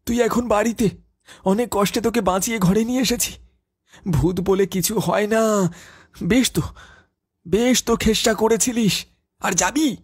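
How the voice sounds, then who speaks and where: A man's voice: high-pitched, measured pace, long pauses, slightly rough, pitched above the performer's own voice, exaggerated voice. A man voicing a bold, scolding young villager in a horror cartoon story, with emphatic delivery.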